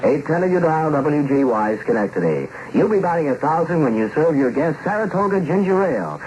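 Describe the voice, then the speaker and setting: A man's voice: fairly high pitch, sing-song, a little fast, a little mellow. A man announcing a 1960s radio commercial with a polished salesman's delivery. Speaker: local radio station announcer. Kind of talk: 1960s radio advertising read. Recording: dull lo-fi 1960s radio, faint noise.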